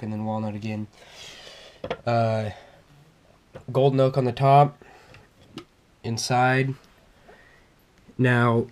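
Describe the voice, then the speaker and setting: Young man, head cold, stuffy nose, unhurried halting delivery, filmed at home on an action camera. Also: medium pitch, a little mellow. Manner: halting, many fillers and restarts. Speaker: vlogger. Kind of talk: casual show-and-tell vlog. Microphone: action camera on a tripod.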